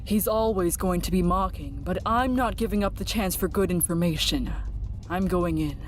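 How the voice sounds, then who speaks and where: A woman's voice: lowish voice, normal speed, slight background noise, lightly acted. A woman playing a stern, determined agent with a curt, commanding manner in an anime fan drama. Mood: resolute. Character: stern, determined female agent leading an interrogation.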